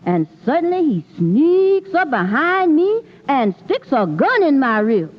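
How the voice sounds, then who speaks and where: An actress playing an affectionate, gossipy housemaid in old-time radio comedy, talking in a folksy, colloquial way. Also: female voice, high-pitched, big pitch swings, a little slow. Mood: eager, gossipy. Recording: muffled old radio recording.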